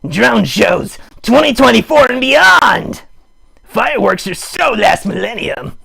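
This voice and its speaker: A man voicing a silly, excitable puppet schemer in an improvised puppet show, with a raspy, gravelly voice and animated, mock-serious delivery. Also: very high-pitched, takes it slowly, exaggerated voice. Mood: playful.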